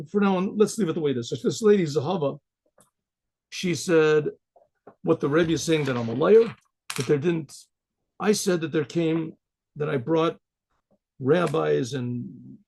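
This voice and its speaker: A rabbi with an American accent telling a personal story in a halting, casual way, with many fillers, restarts and frequent throat clearing. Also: male voice, on the high side, darkish tone. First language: English.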